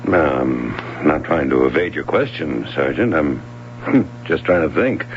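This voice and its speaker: An actor playing an evasive, offhand swimming coach questioned by a police sergeant in an old radio drama. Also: male voice, very deep voice, expressive, a little slow, exaggerated voice. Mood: guarded. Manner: casual, evasive, chuckling. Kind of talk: 1970s radio drama acting. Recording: muffled old radio broadcast with hum.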